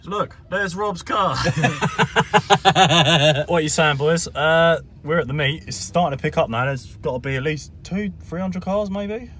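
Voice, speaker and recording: A man's voice: fairly high pitch, unhurried. Young man with a head cold, chatty. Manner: chatty, laughs often, gets excited. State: bunged up with a cold. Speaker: vlogger. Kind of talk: casual car vlog chat. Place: outdoors at a car meet. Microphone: phone camera. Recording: faint noise, phone audio.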